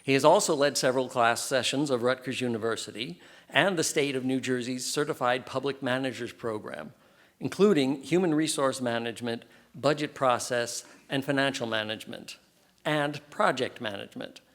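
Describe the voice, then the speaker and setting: A man's voice: medium pitch, normal speed, a bit bright. A man hosting a candidates night, reading a formal introduction of the moderator. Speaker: event host.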